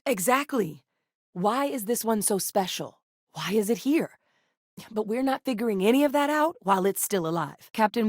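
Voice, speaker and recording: An actress playing a seasoned, serious military scientist in a sci-fi video game, speaking in a measured, earnest and authoritative way. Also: female voice, medium pitch, no room sound, studio quality, lightly acted. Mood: pressing, intense. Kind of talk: scripted game character dialogue.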